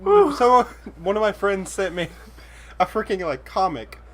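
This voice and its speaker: A young man co-hosting a let's play, chatting casually in halting, rambling anecdotes. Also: high voice, very animated. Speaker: gaming channel co-host. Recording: faint background noise.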